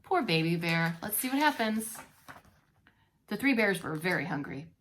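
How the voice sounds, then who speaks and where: A woman's voice: fairly low pitch, big pitch swings, normal speed, fairly bright tone, slight background noise. A woman reading a story aloud to young children as a preschool teacher, expressively and with playful asides. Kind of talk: expressive children's read-along narration.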